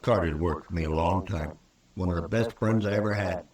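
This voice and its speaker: Actor as bitter, low-voiced Old West rancher. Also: male voice, a little fast, darkish tone, close to an everyday voice. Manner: blunt, folksy, accusing. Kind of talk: old-time radio drama acting. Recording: roomy old radio recording, distant from mic.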